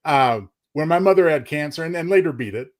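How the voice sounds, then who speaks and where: A man's voice: fairly high pitch, expressive, fairly quick. A man co-hosting a talk show, chatting casually and a little hesitantly as he shares personal remarks. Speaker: co-host.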